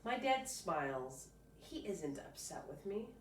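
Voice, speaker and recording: Woman, expressive read-aloud, informal asides, very unhurried pace, slightly low pitch, roomy recording, faint noise. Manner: expressive, stops to comment informally. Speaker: storybook reader. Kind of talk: reading a children's picture book aloud with casual asides. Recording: roomy, distant mic, faint background noise.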